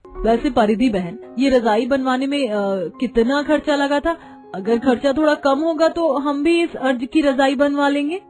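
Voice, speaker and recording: Poor young cartoon bahu, played by an actress, high voice, hesitant and wistful, light music. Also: female voice, normal speed, darkish tone, close to an everyday voice. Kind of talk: cartoon story acting.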